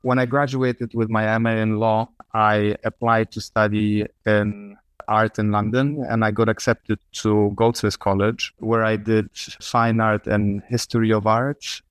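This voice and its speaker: A middle-aged man from Poland answering interview questions in a reflective, measured way, pausing on fillers and restarts. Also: on the low side, little pitch movement, clear, resonant tone, no echo. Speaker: guest artist. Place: remote call from abroad.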